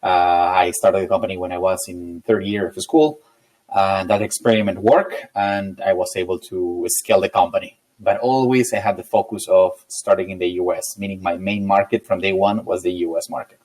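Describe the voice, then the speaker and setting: Low-voiced Peruvian man being interviewed. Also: normal speed, a little mellow, low-quality recording. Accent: Peruvian Spanish. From Peru. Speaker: interview guest, tech company founder. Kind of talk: conversational interview answers.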